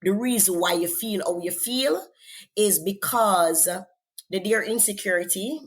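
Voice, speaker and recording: A woman's voice: medium pitch, measured pace. A woman with a Jamaican accent, a guest author giving encouraging, faith-centered advice in an interview. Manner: earnest, encouraging, repeats words when thinking. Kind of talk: faith-based interview talk.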